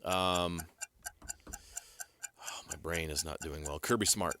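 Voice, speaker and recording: A man's voice: sits low, slow pace, bright, forceful tone, a little hiss or hum. A man co-hosting a sports radio show in casual, bantering talk.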